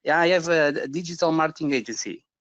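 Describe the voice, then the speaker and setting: A man's voice: fairly high pitch, quick pace, fairly bright tone, no echo. A man from Portugal with a Portuguese accent, asking questions hesitantly on a video call with many fillers and restarts. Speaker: webinar participant. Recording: dull lo-fi call audio.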